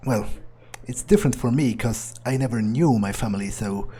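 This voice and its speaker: A man's voice: medium pitch, normal speed, warm tone, breathy edge, soft background music, natural voice. A man playing a cynical, callous young vampire in an in-character game playthrough, musing aloud in a hesitant, dismissive way. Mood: pensive, puzzled. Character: callous, cynical young male vampire, foul-mouthed loner.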